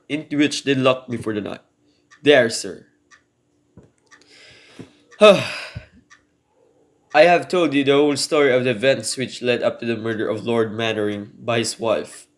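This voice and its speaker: A man playing a desperate prisoner in an amateur radio drama, speaking earnestly and haltingly as he pleads his innocence. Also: mid-range voice, fairly bright tone, close to an everyday voice.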